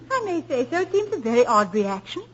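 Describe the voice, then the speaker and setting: A poised, teasing college wife. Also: female voice, high voice, very animated, fast, slightly rough, full character voice. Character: gracious, sly wife of a college president. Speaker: actress in a radio drama. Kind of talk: 1940s radio acting. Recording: muffled old radio broadcast.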